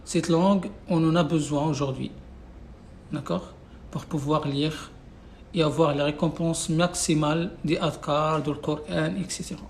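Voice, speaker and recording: A slightly high, gravelly male voice teaches a live class. He speaks French over Arabic as his first language, with frequent fillers and restarts. Faint noise hums behind him. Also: steady tone, a little slow. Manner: repetitive, insistent, many fillers and restarts. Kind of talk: live online class, casual teaching talk. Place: away from home, no whiteboard.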